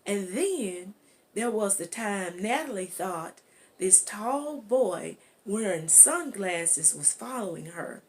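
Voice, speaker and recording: A woman reading a children's chapter book aloud as an amateur narrator, in a deliberate manner. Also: mid-range voice, expressive. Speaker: amateur audiobook reader.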